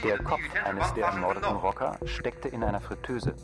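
A man's voice: mid-range voice, sing-song, normal speed, gravelly. A man acting a sober news anchor in a radio drama, reading a scripted bulletin. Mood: grave. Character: sober male news anchor reporting crimes. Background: music.

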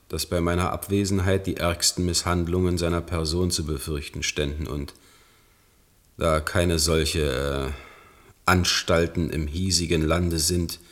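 Solemn state official, deep voice, formal, measured, dutiful, radio play. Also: male voice. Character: grave government councillor, loyal friend turned dutiful official. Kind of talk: German radio drama acting.

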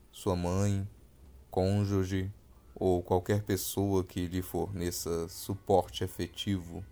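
A man with a Brazilian accent reading a scripted essay deliberately, pausing between short phrases. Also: on the low side, darkish tone. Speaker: podcast narrator reading an essay.

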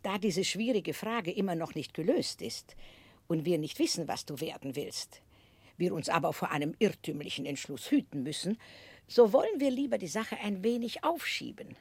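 An actress playing a strict, eccentric older aunt in a 1960s German radio play, speaking emphatically and deliberately with a husky voice. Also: female voice, on the low side, very animated, dry recording. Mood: brisk, deliberating. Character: strict, eccentric, resolute older aunt, fond but blunt.

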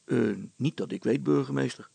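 A man's voice: mid-range voice, moderate pace, creaky, mellow, breathy edge, no echo. A man voicing an honest, nervous village inventor in a storybook, speaking hesitantly and deferentially. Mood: meek.

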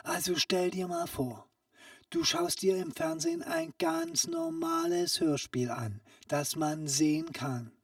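Female actor as shady radio-play peddler: slow, conspiratorial, secretive, swooping between murmurs and sales patter. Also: fairly low pitch, big pitch swings, vocal fry, no echo, clean studio sound, distant mic, exaggerated voice. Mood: persuasive. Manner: conspiratorial hushing, coaxing, often dropping into whispers. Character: sly, secretive street peddler hawking invisible goods. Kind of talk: comic sketch audio play.

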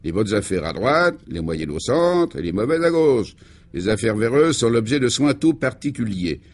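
A man's voice: mid-range voice, sing-song, moderate pace, darkish tone. A man playing a self-important, obliging business director with a ceremonious, showman-like manner in a comic radio serial. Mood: proud, explanatory.

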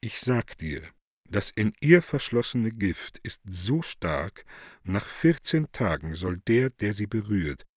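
Guy doing sly fawning devil, deep raspy voice, sarcastic and stagey, radio play. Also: very animated, takes it slowly, no echo, clean studio sound, exaggerated voice. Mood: sarcastic, menacing. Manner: affected, servile, mocking. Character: obsequious, sly, sarcastic devil with mock courtly manners. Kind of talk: theatrical radio play acting.

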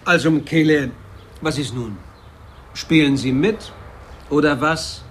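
An actor playing a stern, procedure-minded police captain in a German radio drama, formal, measured and insistent. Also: male voice, a little high, a little hiss or hum. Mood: stern pressure.

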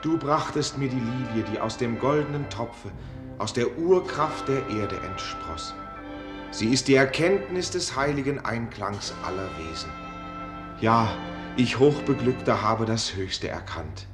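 Actor as young male student, ecstatic devout lover, slow solemn declamation, echo, music behind, radio drama. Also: medium pitch, animated, close to an everyday voice. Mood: rapturous, reverent. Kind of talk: German radio play acting.

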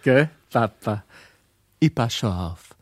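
An actor playing an earnest, dutiful young student son in a radio play. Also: male voice, medium pitch, lively intonation, slow pace, no echo, clean studio sound. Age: young adult.

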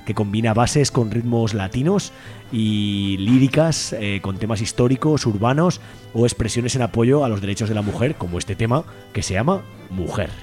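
A man's voice: lowish voice, normal speed, darkish tone, faint music behind. A man with an accent from Spain, presenting a radio show in a conversational way. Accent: Spanish from Spain.

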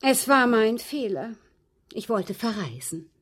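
Genteel, husky, coolly composed lady poisoner. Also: on the high side, very animated, takes it slowly, full character voice. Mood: chatty, matter-of-fact. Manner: polite, precise, unruffled, coolly amused. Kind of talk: German radio drama acting.

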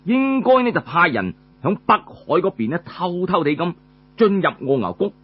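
A male storyteller with a Cantonese accent narrates in an old oral tradition. His voice is fairly high and husky, with very animated pitch swings. The recording is dull and lo-fi. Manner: colloquial, frequent drawn-out filler particles between phrases.

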